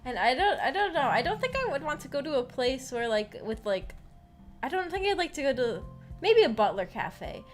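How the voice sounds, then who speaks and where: A woman with a fairly high voice chats casually on a livestream over background music. She rambles in a hesitant, giggly way, often doubling back on her words as she goes. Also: very animated, normal speed. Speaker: VTuber streamer hosting a visual novel book club.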